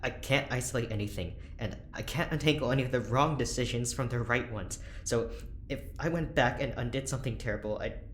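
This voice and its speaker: A male actor as an anxious corporate scientist coming apart, in an audio drama. He is earnest and brittle, with a halting delivery in a mid-range voice. The room echoes and there is faint noise. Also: medium pitch, a bit bright, lightly acted. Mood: despairing. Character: anxious, unravelling corporate scientist who feels trapped.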